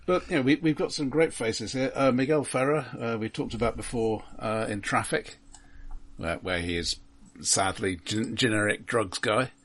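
Man, husky voice, casual film podcast co-host, stammering, dry opinions. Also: medium pitch, normal speed, a bit bright.

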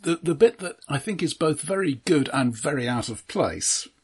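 A man co-hosting a casual film discussion, speaking haltingly and often restarting his phrases. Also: on the high side, expressive, slightly rough. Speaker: podcast co-host discussing films.

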